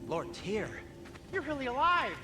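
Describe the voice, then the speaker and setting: Fussy, animated, courteous craftsman. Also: very high-pitched, measured pace, over loud music, full character voice. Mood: flustered, welcoming. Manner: courteous, precise, dry. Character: polite, fussy, hospitable male craftsman, gently sardonic. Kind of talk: animated video game acting.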